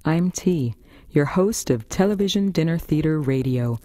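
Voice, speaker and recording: A woman's voice: low-pitched, animated, normal speed, mellow, no echo. A woman with an American accent, hosting a variety radio show with scripted first-person storytelling. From Florida, United States.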